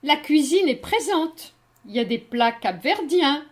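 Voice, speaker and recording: A woman's voice: high-pitched, big pitch swings, a little slow. A woman hosting a radio book interview, engaged and speaking directly to her listeners. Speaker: radio show host.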